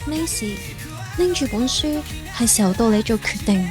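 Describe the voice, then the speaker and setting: A woman acting a calm, supportive teenage schoolgirl in a youth radio drama. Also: on the high side, normal speed, music in the background, lightly acted. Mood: encouraging.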